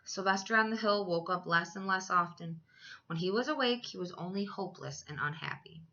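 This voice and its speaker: A woman's voice: fairly low pitch, fairly quick, sharp tone, muffled recording. A woman reading a children's story aloud as a teacher, expressively, with dramatic emphasis for young listeners.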